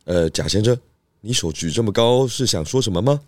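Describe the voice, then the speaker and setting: A man voicing a calm, stout pig detective, composed and good-humored, in a children's audio drama. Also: lowish voice, fairly quick, dry recording, clean studio sound.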